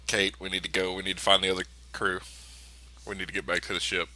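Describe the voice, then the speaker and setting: A man's voice: sits low, level tone, fast talker, sharp tone, a little hiss or hum, rough recording. A man playing a tabletop role-playing game, casually calling his character's actions and speaking as him.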